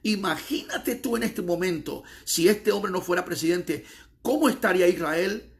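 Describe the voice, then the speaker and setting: Middle-aged US-born evangelist, raspy fry, a bit high, forceful sermon delivery with repetition. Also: male voice, moderate pace. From United States. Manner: emphatic, repeats phrases, stretches key words. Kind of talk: preaching monologue.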